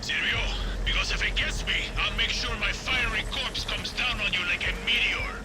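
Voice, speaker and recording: A swaggering male guerrilla pilot played by an actor, very high-pitched for a man. He is grandiose and theatrical, boasting through every phrase. Loud game music swells behind him. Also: moderate pace, lightly acted, rough recording. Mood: wry. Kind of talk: dramatic video game acting.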